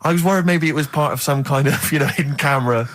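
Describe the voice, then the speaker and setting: A man's voice: fairly high pitch, fast talker, fairly bright tone, dry recording, studio quality. A man with an English accent co-hosting a radio show, telling casual, self-deprecating anecdotes with mock indignation. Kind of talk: casual comic anecdote and banter. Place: radio studio.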